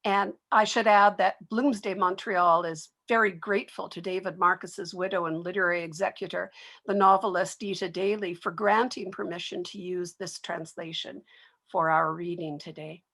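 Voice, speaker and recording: A woman's voice: lowish voice, steady tone, moderate pace, a bit bright. A woman presenting an explanatory introduction to a literary reading.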